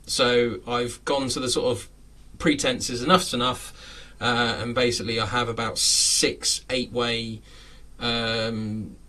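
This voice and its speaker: A man's voice: medium pitch, flat delivery, slow pace, bright, forceful tone, recorded from a distance, rough recording. A man in his late thirties, hosting a casual live chat from his home model railway room, rambling with lots of fillers and frequent chuckles. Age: 30s.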